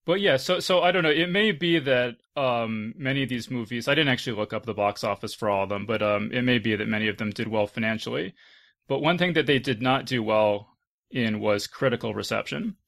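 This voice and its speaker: A man moderates a casual podcast discussion. His voice sits at an average pitch and has a clear vocal fry running through it. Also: brisk, fairly bright tone. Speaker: podcast host.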